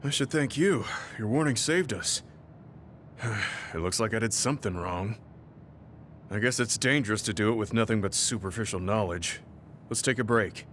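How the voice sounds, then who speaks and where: An actor playing a steady, protective, experienced soldier in an anime game, measured and modest. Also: male voice, fairly low pitch, slight background noise, lightly acted. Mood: steady, concerned.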